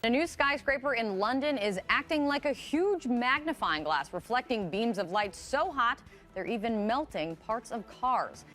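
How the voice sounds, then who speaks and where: A woman's voice: a little high, lively intonation, moderate pace, a bit bright. A woman TV reporter delivering scripted news narration.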